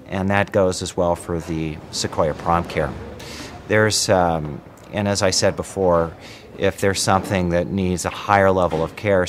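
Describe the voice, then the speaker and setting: A man speaking as an emergency doctor, explaining in a measured, matter-of-fact way in an interview. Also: deep voice, slight background noise.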